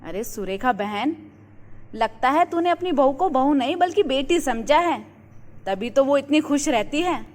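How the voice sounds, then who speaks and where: A woman's voice: high-pitched, lively intonation, a little slow, a little mellow. A woman voicing a gushing, gossipy neighbourhood housewife in a moral-story cartoon, recorded with echoing reverb. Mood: gushing praise. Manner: effusive, gossipy. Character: gushing, flattering neighbourhood housewife, friend of the mother-in-law.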